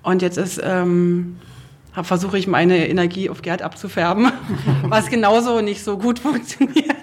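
Husky-voiced woman with a Berlin accent. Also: fairly low pitch, moderate pace. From Berlin, Germany. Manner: loud, lively and talkative. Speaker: host to guests. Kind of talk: casual chat.

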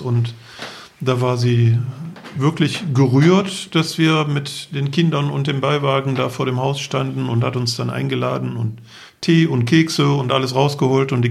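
A middle-aged man with a gravelly, creaky edge and medium pitch tells travel stories. He explains things in detail, as a guest on a podcast. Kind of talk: travel storytelling.

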